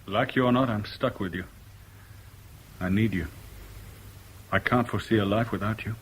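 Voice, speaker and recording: A man's voice: lowish voice, moderate pace, slight background noise, close to an everyday voice. An actor playing a terse, resolute Englishman in a 1970s radio drama, with an English accent and a clipped, serious manner. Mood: bitter, exasperated. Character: respectable Englishman, terse, resolute, embittered by love. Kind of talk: 1970s British radio drama acting and first-person narration.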